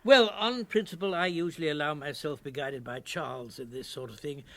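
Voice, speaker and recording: A high-pitched older English gent played by a man, mild and bumbling. He is polite, hesitant and apologetic, the loyal sidekick of a radio comedy. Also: a little fast, natural voice. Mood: amiable. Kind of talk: British radio comedy drama acting.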